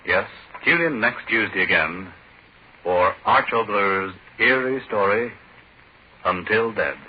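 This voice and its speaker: Casting: male vintage radio pitchman, fairly low voice, emphatic selling, muffled sound. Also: animated, slow, breathy edge. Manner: emphatic, salesmanlike. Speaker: radio announcer. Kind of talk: 1940s radio sponsor pitch. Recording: muffled, noisy 1940s radio recording.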